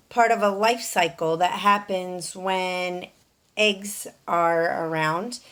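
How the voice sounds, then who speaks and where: A woman's voice: medium pitch, fairly bright tone. A woman reading a picture book aloud for young children, explaining things in an instructive way as a storyteller. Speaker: storyteller, teacher.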